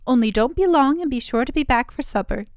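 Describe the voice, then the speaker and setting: A woman's voice: high voice, lively intonation, fast talker, no room sound, studio quality, pitched above the performer's own voice. A woman voicing the sweet-talking wife of a man-eater, using an old-fashioned country dialect. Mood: eager.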